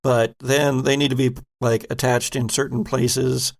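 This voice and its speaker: Unhurried male game master with a gravelly voice; describes scenes at length. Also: medium pitch, level tone. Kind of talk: narrating a tabletop game.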